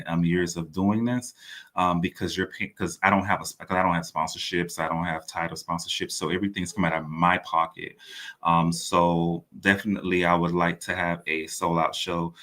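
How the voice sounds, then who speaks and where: A man with a deep, fairly flat voice and a bright, forceful edge. He talks as a guest in a sit-down interview, conversational and rambling. He often repeats a phrase as he works toward his point. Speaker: interview guest, playwright and producer.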